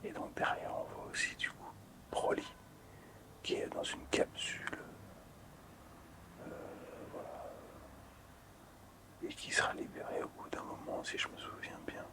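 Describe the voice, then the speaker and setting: A man hosting casual ASMR in a breathy, unvoiced whisper, hushed, hesitant and appreciative. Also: unhurried, long pauses, low-quality recording. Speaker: ASMR video host.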